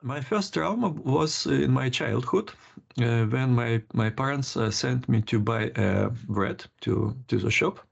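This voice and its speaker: Gravelly older man, halting speech. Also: mid-range voice, muffled recording. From Lithuania. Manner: halting, many restarts and laughs. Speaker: interview guest, criminologist. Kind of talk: casual interview.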